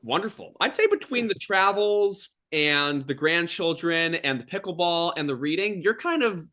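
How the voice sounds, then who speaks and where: A man's voice: a little high, animated, normal speed, bright, forceful tone. A man hosting a casual conversational interview, speaking in an enthusiastic, affirming manner. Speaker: podcast host interviewing a former colleague; school teacher.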